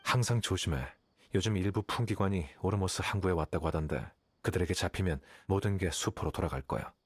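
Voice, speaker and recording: An actor playing an aloof, calculating young scholar in a video game, speaking in a detached, measured, condescending way. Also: male voice, sits low, slightly rough, no room sound. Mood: composed, instructive. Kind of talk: video game character dialogue. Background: game music.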